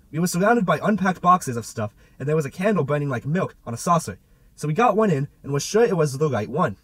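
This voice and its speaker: A man's voice: a little high, slightly rough, dry recording, poor audio. A man narrating a literary novel as an amateur audiobook reader, with a theatrical delivery.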